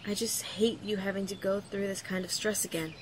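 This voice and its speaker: Woman acting young mother-to-be, weary, earnest, confiding, medium pitch, audio drama letter, birdsong, faint noise. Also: fairly even intonation, a little fast, natural voice. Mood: resigned, tender. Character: weary pregnant young student writing to her father. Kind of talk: letter read aloud in horror audio drama.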